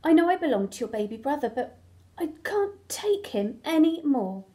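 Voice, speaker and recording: A woman's voice: high-pitched, sing-song, normal speed, dark tone. A woman voicing a desperate, worn-out toddler crayon from a storybook, complaining and pleading.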